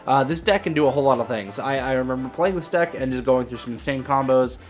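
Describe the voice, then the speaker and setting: A man's voice: medium pitch, fast talker, faint music behind. A young man hosting a gaming video, giving casual, rambling commentary as he thinks aloud. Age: young adult.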